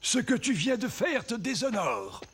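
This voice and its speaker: Older council elder, cartoon dub: high, raspy, lively pitch, proud and severe. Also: male voice, unhurried, full character voice. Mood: ashamed, severe. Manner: severe, indignant. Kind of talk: French animated film dubbing. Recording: faint music behind the dialogue.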